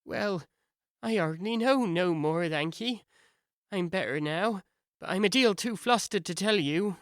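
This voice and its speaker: Feeble, squeaky little lizard Bill. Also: male voice, a little slow, a bit bright, no room sound, clean studio sound. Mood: flustered. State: shaken, dazed. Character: small, flustered male lizard Bill.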